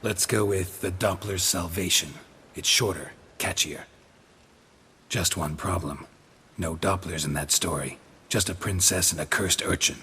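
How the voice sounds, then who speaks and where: An actor playing a gruff, laconic monster hunter in a video game, gravelly and deadpan in delivery. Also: male voice, low voice, measured pace, long reverb tail, a little hiss or hum. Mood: dry. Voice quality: gravelly, husky. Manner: terse, deadpan.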